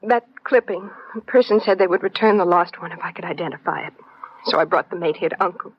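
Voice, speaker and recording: A dull old radio recording carries a refined society woman in a detective mystery. Her voice is husky and breathy, and her delivery is genteel, anxious and theatrical, in vintage radio drama style. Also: on the low side, quick pace. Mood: distraught.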